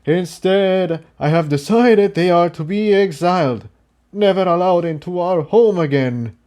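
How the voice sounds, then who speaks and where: A man's voice: sits high, measured pace, darkish tone, pitched above the performer's own voice. A man voicing a stern, solemn male pony leader who declaims formally and with authority, as in an audio storybook. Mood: grave.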